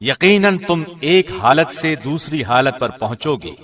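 A man reading a scripture translation formally, in the measured, solemn manner of a radio presenter. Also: on the high side, lively intonation, a bit bright, recorded from a distance, soft background music. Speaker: translation reader, radio host.

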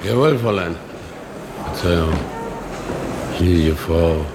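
An actor playing a gossipy, folksy railway porter with a colloquial, musing way of talking in a radio play. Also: male voice, deep voice, animated, takes it slowly, noisy background, heavily acted. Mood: deferential.

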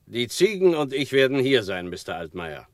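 An actor playing a droll, laconic goat-keeping caretaker with deadpan delivery in a radio play. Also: male voice, medium pitch, moderate pace, dry recording, clean studio sound, lightly acted. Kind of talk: radio drama dialogue.

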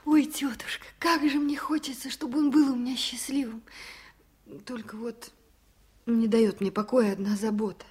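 Actress doing a young village wife, highish voice, earnest n emotional, old 70s radio play. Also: female voice, steady tone, moderate pace, darkish tone, lightly acted. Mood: anxious, hopeful. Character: eager, anxious young expectant village wife. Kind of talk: 1970s radio drama acting.

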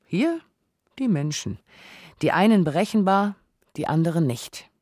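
A woman playing a cool, analytical sparring partner in a radio drama, measured, probing and logical. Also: fairly low pitch, very animated, darkish tone, dry recording, studio quality. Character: cool, analytical female sparring partner who questions and objects. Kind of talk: scripted radio drama dialogue.

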